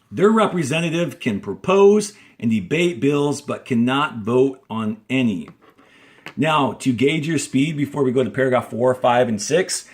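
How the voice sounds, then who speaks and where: A male elementary teacher with a mid-pitched voice gives an instructional reading lesson. He explains things step by step and reads aloud clearly for children, pausing to stress the key words. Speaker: fourth grade teacher.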